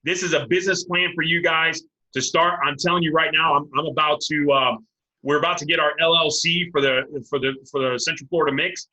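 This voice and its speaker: A man hosting a casual interview, speaking earnestly to viewers in a halting, repetitive way with emphatic appeals. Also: on the high side, steady tone, sharp tone, low-quality recording. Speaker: host, sports recruiting coach.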